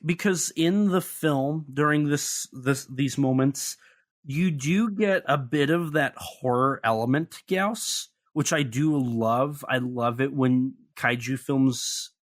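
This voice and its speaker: A man co-hosting a podcast, talking through a monster film in a chatty, analytical way with light sarcasm. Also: medium pitch. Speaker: podcast co-host. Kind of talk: casual film discussion.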